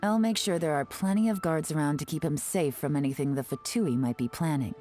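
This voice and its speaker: A woman's voice: low voice, a little fast, warm tone, faint music behind, lightly acted. A woman voicing a composed, calculating female spy in a video game, speaking in a wry, self-assured way. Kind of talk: game cutscene dialogue.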